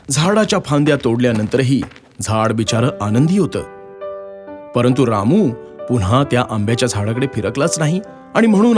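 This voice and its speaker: A man narrating a scripted children's story as a storyteller. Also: mid-range voice. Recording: music behind the voice.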